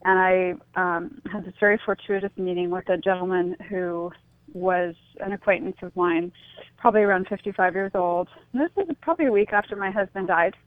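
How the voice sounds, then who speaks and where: A middle-aged woman guest giving a personal account in an interview, speaking in a reflective, halting way. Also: lowish voice, no echo, phone-quality sound.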